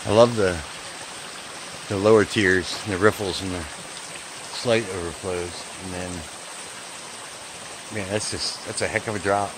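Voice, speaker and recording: A man's voice: sits low, fairly even intonation, slow, long pauses. A man narrating his hike up a wooded gorge trail in a chatty way, filmed on a handheld camera. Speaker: hiking vlogger. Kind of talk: casual hiking narration. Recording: outdoor stream noise behind.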